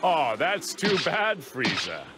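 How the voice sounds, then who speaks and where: An actor playing a proud, impatient fighter in a video game, smug and goading. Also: male voice, on the high side, very animated, takes it slowly, very noisy. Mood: taunting. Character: proud, taunting male fighter, impatient and cocky. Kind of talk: dramatic video game voice acting.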